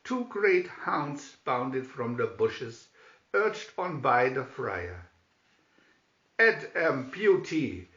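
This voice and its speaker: A man's voice: mid-range voice, very animated, very slow, a bit bright. A man reading a storybook aloud as dramatic scripted narration. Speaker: storybook reader. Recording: dull, roomy home recording.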